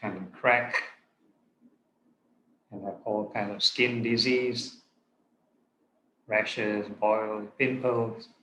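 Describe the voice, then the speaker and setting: A man leads a guided meditation as a monk and teacher, speaking very slowly in short, deliberate phrases. His voice is somewhat low and has a clearly bright edge. It comes through a roomy, echoing recording. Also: little pitch movement. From Singapore. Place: online video call session in a room. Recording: roomy echo, clear background noise.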